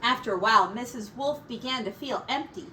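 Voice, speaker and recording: Woman, storybook narration, expressive, highish lively voice, dramatic emphasis, faint background noise. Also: brisk. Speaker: teacher reading a storybook aloud. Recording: distant mic with faint room noise.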